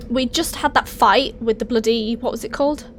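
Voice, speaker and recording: A woman with a cold and a raspy, hoarse voice, chatting casually as she plays a video game and thinks aloud. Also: a little high, moderate pace. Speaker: let's play host. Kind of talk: casual gameplay commentary. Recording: voice over steady game engine noise.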